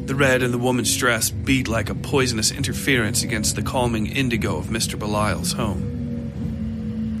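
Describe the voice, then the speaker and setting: A man narrating a dark fiction story in a deliberate, theatrical way. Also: mid-range voice. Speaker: narrator of a horror fiction podcast. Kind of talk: scripted dramatic story narration. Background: music behind the voice.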